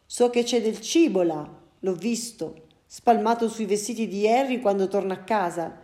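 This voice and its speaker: A woman's voice: medium pitch, normal speed, mellow, lightly acted. A woman voicing a hungry male house cat who mutters and grumbles to himself in a storybook reading. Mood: grumbling. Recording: roomy echo.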